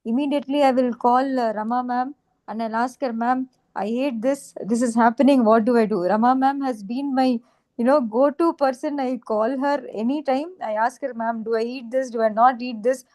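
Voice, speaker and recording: A woman's voice: on the high side, fairly even intonation, moderate pace, darkish tone. A woman, a client in a nutrition program, speaking politely on a video call with many fillers and restarts. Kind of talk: casual online meeting talk.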